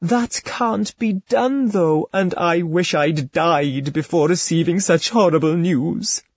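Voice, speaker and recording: A cunning young woman from an old storybook tale, pleading in a high, theatrical flutter, as a male narrator puts her on. Her voice is breathy and husky, recorded close and dry with no room around it. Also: takes it slowly, no room sound, heavily acted. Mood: false distress.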